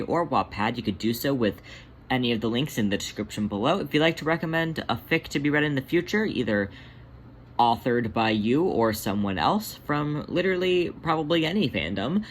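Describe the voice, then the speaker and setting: A man's voice: mid-range voice, a bit bright, a little hiss or hum. Man reading a story aloud. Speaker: fan fiction author and podfic reader, host. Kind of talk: scripted narration of a story read aloud.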